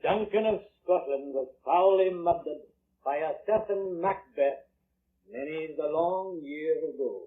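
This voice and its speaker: A man's voice: fairly high pitch, unhurried, husky. An actor playing a solemn murdered Scottish king, speaking in a stately, archaic manner in 1940s radio drama style. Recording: tinny vintage radio recording.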